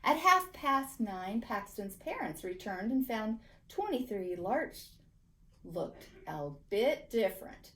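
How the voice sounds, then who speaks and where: A woman reading a children's picture book aloud with a theatrical, deliberate delivery. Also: mid-range voice, sing-song, fairly bright tone. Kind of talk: expressive children's story narration.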